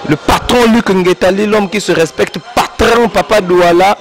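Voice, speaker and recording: A man from Congo-Brazzaville with a Congolese French accent, a guest artist rambling through an interview and giving long shout-outs. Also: sits high, moderate pace.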